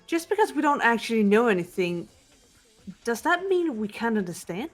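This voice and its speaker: A woman doing cool composed detective girl for a game, serious and measured, faint music behind. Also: on the high side, very animated. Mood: reflective, earnest. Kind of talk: fan voice acting of a video game script.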